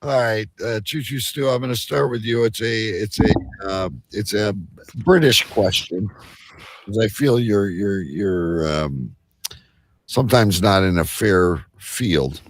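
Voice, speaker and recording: A man hosting a bantering comedy quiz, halting as he sets up questions, then reading them out emphatically. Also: on the low side, expressive. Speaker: quiz host.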